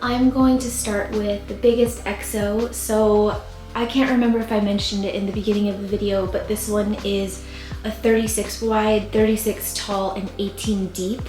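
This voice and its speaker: A tired young woman whose voice is going hoarse, chatting casually and rambling with fillers as she shows her plant room. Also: medium pitch, level tone, moderate pace, a little mellow, echoey room, soft background music. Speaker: plant YouTuber. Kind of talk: casual vlog talk. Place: warm home plant room. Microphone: camera mic with ring light.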